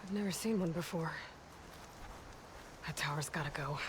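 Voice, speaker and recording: An actress playing a determined, guarded young woman adventurer in a video game, speaking in a low-key, terse, purposeful way. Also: moderate pace, noisy background, lightly acted.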